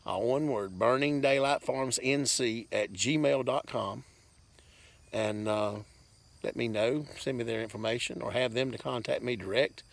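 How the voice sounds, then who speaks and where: Folksy man with a gravelly creak. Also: mid-range voice, measured pace. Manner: folksy, plainspoken, rambling with asides. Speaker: farm vlogger. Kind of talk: casual rambling update to viewers. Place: outdoors on a farm.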